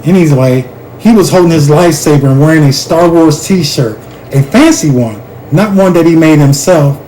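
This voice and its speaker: Man, fairly high voice, expressive children's read-aloud, talks straight to the listener, some background noise. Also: moderate pace, rough recording. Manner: expressive, addresses the listener directly. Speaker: storyteller reading a children's book aloud. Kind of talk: animated read-aloud of a children's chapter book.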